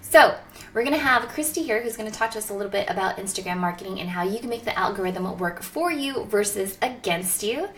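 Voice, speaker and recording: A woman's voice: medium pitch, lively intonation, fast, slight background noise. A woman hosting a live interview stream, enthusiastic and upbeat, laughing often. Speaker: host of a live show.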